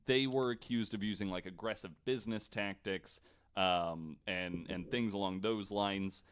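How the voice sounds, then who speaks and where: A man presenting a researched story on a casual podcast, speaking in a halting way as he explains from his notes. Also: on the low side, a bit bright, smooth.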